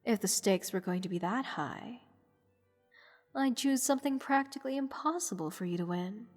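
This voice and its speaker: A woman playing a haughty, playful goddess who teases a captive mortal in an unhurried, condescending manner, in a roleplay audio drama. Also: a little high, animated, a little mellow. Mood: smug, mocking. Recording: long hall reverb. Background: music.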